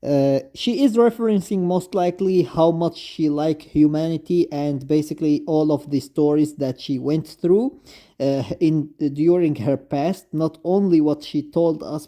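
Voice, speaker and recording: A man with a fairly high-pitched but very soft, dark tone gives off-the-cuff commentary as a video game fan. He is a non-native English speaker, effusive in his praise, and he often halts and repeats himself. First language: not English. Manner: effusive, halting, repetitive. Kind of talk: unscripted fan commentary, reading lines aloud.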